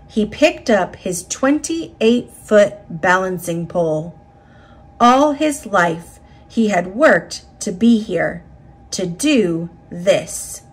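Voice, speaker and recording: A woman reading a children's picture book aloud in a deliberate, dramatic storytelling manner. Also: medium pitch, lively intonation, breathy edge, low-quality recording. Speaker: storybook reader.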